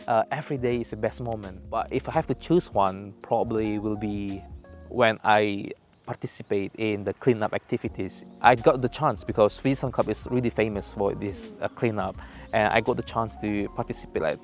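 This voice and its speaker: A man's voice: fairly low pitch, moderate pace. Hesitant young man. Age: young adult. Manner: hesitant, many fillers, relaxed. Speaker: intern interviewee. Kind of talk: casual interview answers. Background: faint music.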